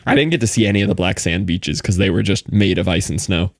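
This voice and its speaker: A chatty young man talking to his stream audience as he plays, answering chat in an easy, rambling way. His voice sits on the low side, and the sound is dry and close with no room around it. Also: quick pace, no room sound. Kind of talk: casual live-stream chat.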